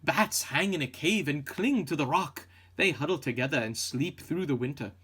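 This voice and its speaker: A man reading a children's picture book aloud as scripted narration, with expressive storytelling delivery. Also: on the high side, normal speed, slightly rough. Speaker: storybook reader.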